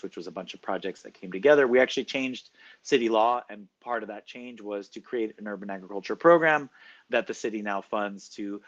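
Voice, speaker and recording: A man answering interview questions as a professor, explaining at length in a measured way with frequent fillers. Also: lowish voice, a bit bright, muffled recording.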